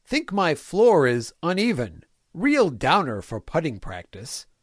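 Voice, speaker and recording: Man playing a lively, fussy villainous small-town mayor. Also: fairly high pitch, unhurried, no echo, studio quality, heavily acted. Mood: matter-of-fact scheming. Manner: folksy, prim, clipped list-like diary delivery. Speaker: fan audio drama voice actor. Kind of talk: dramatic reading of a comic fan-fiction diary.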